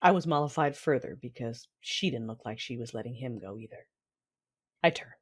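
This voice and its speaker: A woman's voice: very deep voice, normal speed, breathy edge. A woman playing a proud, hot-tempered Sith warlord mother, narrating an audio drama in the first person with commanding intensity. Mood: brooding. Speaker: narrator and lead character.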